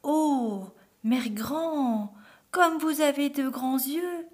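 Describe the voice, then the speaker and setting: A woman's voice: sits high, sing-song, measured pace, pitched above the performer's own voice. A woman voicing a trusting, innocent little girl in a fairy tale, with an earnest, wide-eyed manner. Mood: amazed.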